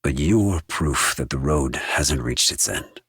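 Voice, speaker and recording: Very deep, gravelly solemn game warrior. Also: male voice, expressive, fairly bright tone, no echo, studio quality, exaggerated voice. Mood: solemn, hopeful. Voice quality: husky, rough. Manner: slow, solemn. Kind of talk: video game character voice acting.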